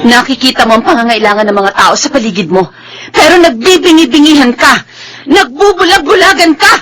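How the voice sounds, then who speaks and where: A high, outraged accuser. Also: female voice, animated, soft background music. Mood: furious, accusing. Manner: rapid, tearful shouting. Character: outraged woman bitterly accusing someone of selfishness. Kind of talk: radio drama acting.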